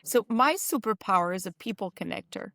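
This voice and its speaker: A woman's voice: mid-range voice, fairly quick, no echo. Chatty French-accented woman, dry sound. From Spain and Portugal. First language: Spanish. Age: around 60. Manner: lively, chatty, acts out other people's lines. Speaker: interview guest. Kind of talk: casual storytelling in an interview.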